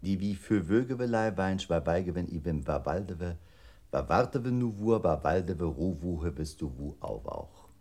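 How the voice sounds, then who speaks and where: Low, deliberate declaiming machine. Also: male voice, animated, darkish tone. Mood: deliberate, formal. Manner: deliberate, formal articulation of tongue-twisting nonsense. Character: computer memory voice declaiming distorted poetry. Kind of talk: 1960s German radio play recitation.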